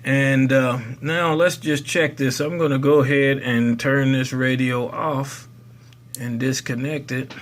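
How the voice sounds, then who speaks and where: A man's voice: medium pitch, level tone, measured pace. A man hosting a casual how-to demonstration in a folksy, rambling way. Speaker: tutorial video host.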